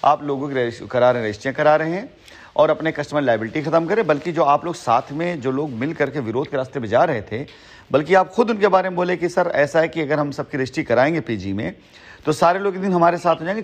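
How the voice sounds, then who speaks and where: A man's voice: on the high side, expressive, fast talker. A man speaking assertively and at length on a recorded phone call, repeating himself for emphasis. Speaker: company head on a phone call with a former associate. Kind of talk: phone conversation in Hindi mixed with English words.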